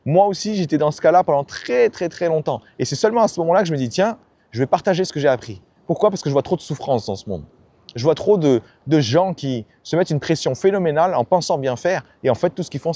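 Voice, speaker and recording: A man's voice: on the high side, warm tone, no room sound. A man giving a blunt, slangy motivational talk about ambition and failure, often acting out the people he quotes. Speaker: self-help YouTuber. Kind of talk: casual motivational monologue.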